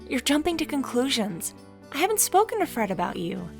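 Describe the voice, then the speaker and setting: A woman's voice: high-pitched, very animated, a little fast, creaky, faint music behind, voice pushed up, exaggerated voice. A woman voicing a friendly, curious and forthright young woman with an upbeat, chatty delivery in a story. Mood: reassuring.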